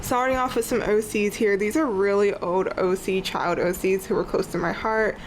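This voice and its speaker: Young woman, congested and sniffly, rambling vlog chat, mid pitch, faint hiss behind. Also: fairly even intonation, moderate pace, rough recording. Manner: chatty, rambling, says "like" often. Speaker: art vlogger. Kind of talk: casual sketchbook tour chat. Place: on her bed at home.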